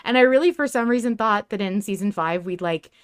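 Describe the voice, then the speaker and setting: A woman hosting a casual podcast discussion about fiction, talking in an animated, emphatic and slangy way. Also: medium pitch, brisk, clean tone. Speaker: podcast host.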